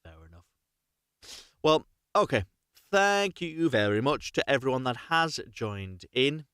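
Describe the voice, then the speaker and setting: A man's voice: medium pitch, sing-song, unhurried, sharp tone, clear, resonant tone, no echo, clean studio sound. A man hosting a live, unrehearsed story reading, narrating expressively, correcting himself and adding chatty asides. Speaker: host reading stories aloud on a livestream.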